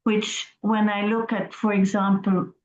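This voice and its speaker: A woman's voice: mid-range voice, flat delivery, unhurried. Hesitant woman on a roomy, dull video call. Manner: hesitant, reflective, restarts words. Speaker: class participant.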